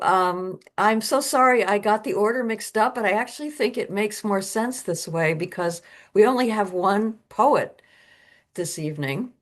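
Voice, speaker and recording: A woman hosting an online reading, introducing the next reader in a chatty way with fillers and asides. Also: mid-range voice. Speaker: event host. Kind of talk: casual introduction.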